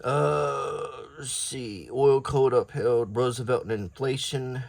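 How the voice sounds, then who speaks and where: A man reading an old newspaper aloud in a low-key, casual ASMR style, adding offhand comments as he browses. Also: steady tone, slow, creaky, no room sound.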